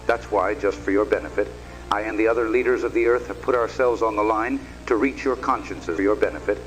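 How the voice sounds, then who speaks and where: A man playing a sinister US president in an analog horror video, giving a measured, earnest, insistent televised address. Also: fairly low pitch, soft background music, lightly acted, poor audio. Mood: solemn, persuasive.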